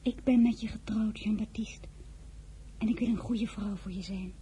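An actress playing a tender young newlywed wife who tells her own story in a radio drama, with a husky, breathy voice. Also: mid-range voice, steady tone, normal speed, dark tone, a little hiss or hum, natural voice. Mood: tender, hushed. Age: young adult. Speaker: narrator and lead character. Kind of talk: radio drama with first-person narration.

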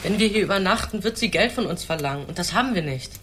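A man's voice: high-pitched, lively intonation, quick pace, a bit bright, slight background noise. A young male actor playing an earnest, anxious boy, a responsible older brother, in a radio play. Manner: earnest, urgent. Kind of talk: German radio play acting.

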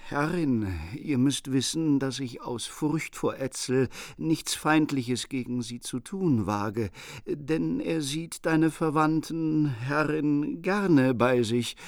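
An actor playing a loyal noble knight in a radio drama, earnest and deliberate toward his lady. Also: a little high, no echo, clean studio sound. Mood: wary. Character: loyal noble knight, wary but ready to fight. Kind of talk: German radio drama of a medieval epic.

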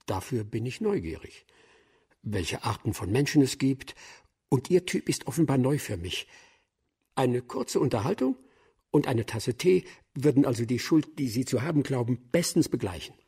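Gravelly, wry older official. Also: male voice, mid-range voice, a little mellow. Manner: dry, sardonic, measured. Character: wry, shrewd older male official, dry and curious. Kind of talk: German radio drama acting.

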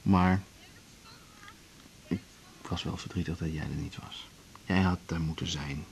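An actor playing a tender, wistful musician lover, murmuring hesitantly in a naturalistic radio play. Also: male voice, deep voice, fairly even intonation, measured pace, vocal fry. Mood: wistful, affectionate. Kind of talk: naturalistic radio drama acting. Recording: faint hiss on 1980s radio recording.

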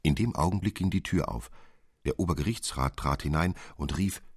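Male narrator, very low husky voice, dry studio sound, expressive literary storytelling. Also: moderate pace, no echo. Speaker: narrator reading a literary fairy tale.

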